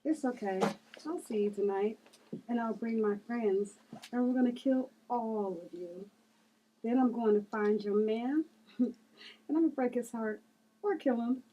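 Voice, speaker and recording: A woman's voice: on the high side, muffled recording. A woman acting a gloating assassin in a script table read, taunting and mocking as she relishes her threats. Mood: cold threat. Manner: mocking, taunting, drawn-out delivery.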